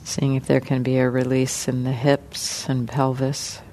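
A woman teaching guided meditation in a gentle, unhurried manner, leaving long pauses between short phrases. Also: a bass voice, level tone, slight background noise.

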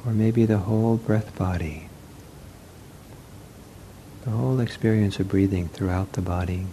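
A man teaching a guided meditation in gentle, soothing short phrases with long pauses. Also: low voice, slight background noise.

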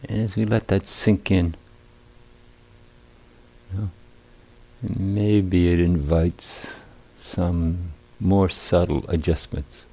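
A man with a very low, creaky voice leads a guided meditation as its teacher. His phrasing is deliberate and a little hesitant, with long pauses between short phrases. The tone is dark and mellow. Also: fairly even intonation, low-quality recording. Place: meditation retreat center.

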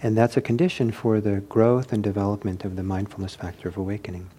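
A man giving an informal meditation talk, reflective and hesitant as he searches for words, with a soft, husky voice. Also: on the low side, little pitch movement, fast talker, a little hiss or hum. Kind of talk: informal spoken teaching with anecdotes.

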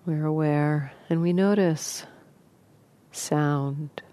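Low, slow, mellow woman guiding meditation. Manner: unhurried, sparse phrases with long pauses. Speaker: meditation teacher. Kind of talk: guided meditation.

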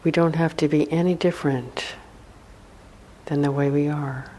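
A woman meditation teacher leading a guided meditation in a hushed, soothing manner, with long pauses between short phrases. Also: low-pitched, fairly even intonation, low-quality recording. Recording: faint background noise.

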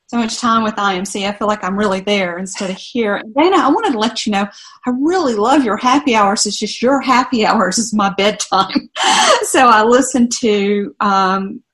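A woman's voice: medium pitch, a bit bright. Chatty woman introducing herself. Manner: chatty and appreciative. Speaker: course participant. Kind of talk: friendly self-introduction. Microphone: video call.

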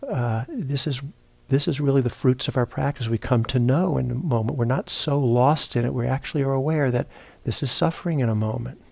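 A man teaching a guided meditation, speaking in a halting, reflective way as he searches for words. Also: medium pitch, vocal fry, a little mellow, no room sound. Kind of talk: guided meditation talk, unscripted. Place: meditation retreat center, indoors.

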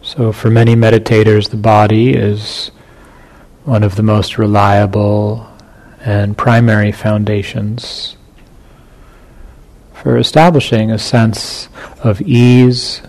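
A man leading a guided meditation as a teacher, speaking in short phrases with long pauses between them. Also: on the low side, level tone, darkish tone.

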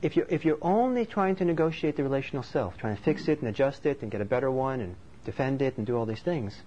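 A man from Norway speaks as a meditation teacher, giving a dharma talk in a thoughtful, unhurried, conversational way. His voice sits at an ordinary middle pitch. The recording sounds slightly muffled, with a faint hiss of background noise underneath. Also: animated, warm tone.

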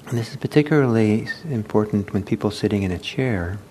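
A man leading a guided meditation as a teacher, speaking gently and unhurriedly with halting pauses. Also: lively intonation. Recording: low rumbling background noise.

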